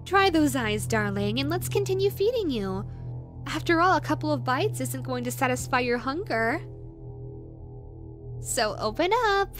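A woman playing an obsessive, possessive yandere in a rabbit costume in an audio roleplay, cooing in a drawn-out way that swings from syrupy to threatening. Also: high voice. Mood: sweetly threatening. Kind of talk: dramatic audio roleplay addressed to the listener.

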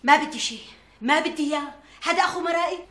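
Indignant Syrian housewife, middle-aged, shrill, sharp bright voice, fast, TV drama, echoey room. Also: female voice. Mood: aggrieved. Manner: shrill, complaining. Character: indignant, stubborn, aggrieved mother and housewife. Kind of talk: TV drama acting.

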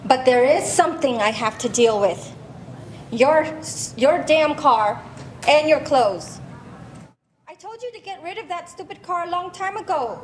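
A woman's voice: high voice, expressive, measured pace. A woman playing an exasperated, blunt older immigrant mother in a staged play reading. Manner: blunt, dismissive. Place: large glass-walled public hall, on stage. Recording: live stage microphone with background noise.

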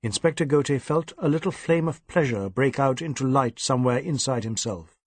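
A man narrating a novel as an audiobook, measured and expressive in his storytelling. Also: medium pitch, dry recording. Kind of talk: scripted literary narration.

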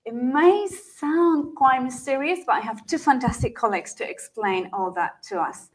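A woman with a higher voice hosts a live online session. She sounds upbeat and welcoming as she introduces her guests and greets viewers. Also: moderate pace. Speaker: host.

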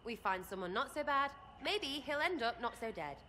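A cheeky young elf archer from a fantasy game, with an English accent. Her voice is high and swoops about as she rambles in blunt, impish slang. Faint noise and ambience sit behind her. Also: expressive, normal speed, sharp tone. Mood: blunt, impatient. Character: brash, cheeky young elf woman archer, irreverent rebel. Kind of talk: video game character acting.

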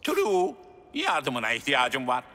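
A cheerful little king from a children's cartoon. His delivery is theatrical and excitable, swinging widely in pitch. Music plays prominently behind him. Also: takes it slowly. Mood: urgent pleading. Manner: theatrical, exclaiming, expressive. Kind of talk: animated children's cartoon acting.

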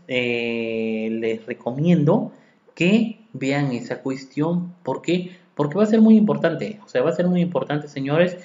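A man's voice: medium pitch, a little mellow. A Mexican accountant lecturing on tax law, reading legal articles aloud and then explaining them with rhetorical questions to his listeners. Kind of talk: podcast lecture. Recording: echoey room, dull lo-fi sound.

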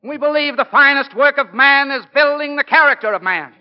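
An actor playing a middle-aged American college football coach in 1940s radio style, speaking plainly and emphatically like an orator. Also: male voice, very high voice, brisk. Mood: impassioned. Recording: muffled 1940s radio broadcast recording.

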